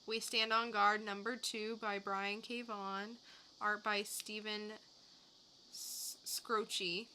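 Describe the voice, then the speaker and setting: A woman's voice: medium pitch, level tone, slow pace, sharp tone, slight background noise, low-quality recording. A woman co-hosting a podcast, recapping and reviewing in a casual, conversational way. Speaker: podcast co-host reviewing comics.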